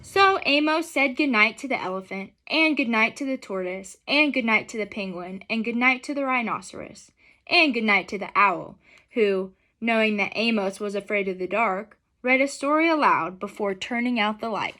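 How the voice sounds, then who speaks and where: A woman's voice: a little high, moderate pace, sharp tone. A young adult woman reading a picture book aloud expressively and putting questions to children in an instructional way. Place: small furnished room at home, indoors.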